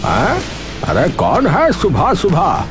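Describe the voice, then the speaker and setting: A man's voice: on the high side, huge pitch swings, slow, full character voice. Man as a grumpy blustering village headman. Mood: annoyed, threatening. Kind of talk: cartoon voice acting. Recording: music behind the voice. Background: music.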